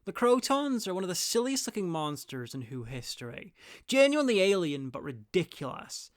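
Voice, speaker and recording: Male review host, slightly high voice, clear and smooth, scripted commentary, wry, opinionated, dry close sound. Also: big pitch swings, normal speed, no echo.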